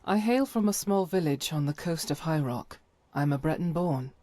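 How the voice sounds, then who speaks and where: A woman playing a devout, stern holy warrior in a video game, speaking in solemn, formal, measured phrases. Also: low-pitched, expressive, dark tone, no room sound. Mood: reflective, earnest. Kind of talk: scripted video game character dialogue.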